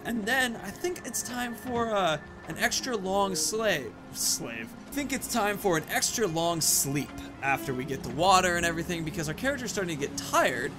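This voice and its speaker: A man's voice: high voice, expressive, moderate pace, few pauses, music in the background. A man giving casual let's play commentary as a gaming host, rambling and thinking aloud.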